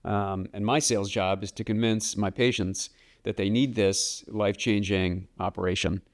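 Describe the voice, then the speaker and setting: A man in his late thirties from the Washington DC area, a podcast guest speaking in a measured, thoughtful way with frequent ums. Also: on the low side, steady tone. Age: late 30s. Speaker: guest, real estate broker. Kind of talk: casual podcast interview.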